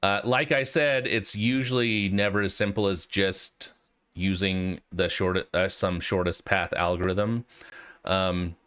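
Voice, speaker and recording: A man's voice: low voice, fairly even intonation, unhurried, fairly bright tone, clear, resonant tone. An American man with a cold, explaining things casually and informally as the host of a tutorial video, hesitating as he thinks aloud. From United States. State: has a cold. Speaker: host of a programming walkthrough video.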